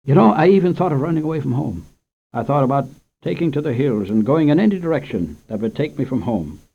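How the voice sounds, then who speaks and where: A man reading a short story aloud in an expressive, unhurried way as the storyteller of a children's radio program. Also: mid-range voice, gravelly. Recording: muffled old radio recording.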